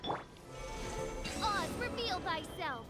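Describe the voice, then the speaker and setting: A young female adventurer in a video game. Her battle callouts are high and swooping, delivered slowly. Loud music surrounds the voice. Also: animated, exaggerated voice. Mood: commanding. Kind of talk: scripted game combat callouts.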